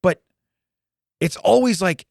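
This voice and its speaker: Forty-something guy cohosting a podcast, slightly high voice, laughs a lot, dry close sound. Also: slow pace, creaky, no echo. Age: 40s. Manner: animated, laughs often, acts out little scenes. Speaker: podcast co-host. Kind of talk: casual chat.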